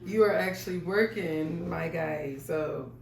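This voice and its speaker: A woman's voice: lowish voice, a little slow, creaky, a little mellow. Chatty woman hosting a podcast, jumps around topics, echoey room, a bit off mic. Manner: chatty, jumps between topics, frequent "mm-hmm"s. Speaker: podcast host. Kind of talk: casual chat. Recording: echoey room, mic at a distance, faint noise.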